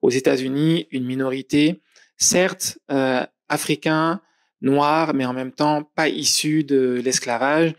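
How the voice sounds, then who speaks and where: A man's voice: fairly high pitch, steady tone, dry recording, clean studio sound. Thoughtful man. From Mali. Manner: thoughtful, measured, with frequent hesitant fillers. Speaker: psychologist interviewee. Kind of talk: reflective interview answer.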